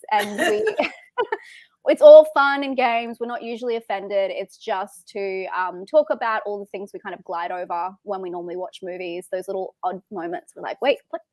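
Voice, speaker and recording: A woman with an Australian accent hosting a casual film livestream, rambling along in an excitable, giggly way. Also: mid-range voice, dry recording. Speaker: host of a film talk show.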